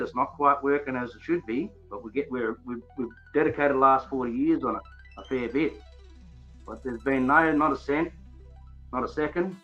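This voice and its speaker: Aussie bloke, bright forceful voice on a dull mic, chatty and laughing easily. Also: male voice, medium pitch. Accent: Australian. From Australia. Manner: laughs often, broad slangy delivery. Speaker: debate guest. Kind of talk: casual live-stream debate. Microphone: desktop microphone.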